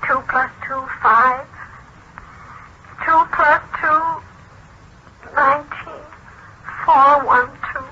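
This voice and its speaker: A woman playing a female thinking computer, reciting numbers and formulas haltingly in a 1940s radio drama. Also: sits high, fairly even intonation, sharp tone, exaggerated voice. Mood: plaintive. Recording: muffled, noisy 1940s radio recording.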